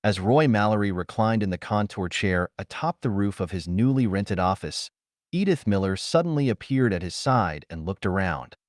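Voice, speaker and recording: A man reading pulp science fiction as an audiobook narrator, in an expressive, theatrical way. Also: on the low side, normal speed, no echo, clean studio sound.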